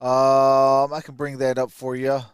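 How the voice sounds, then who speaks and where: A man's voice: mid-range voice, monotone, a little slow, clean tone, no room sound, studio quality. A man hosting a live stream, giving casual draft commentary and reading out stats in clipped phrases.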